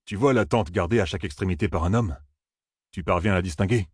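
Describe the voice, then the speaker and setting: A man's voice: deep voice, fast, darkish tone, breathy edge, dry recording, clean studio sound. A man voicing a plain, practical middle-aged villager.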